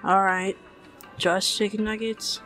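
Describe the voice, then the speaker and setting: A woman voicing an apathetic fast-food cashier in a cartoon comic dub, weary and unimpressed. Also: mid-range voice, soft background music. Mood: flat, polite. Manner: flat, hesitant, unimpressed.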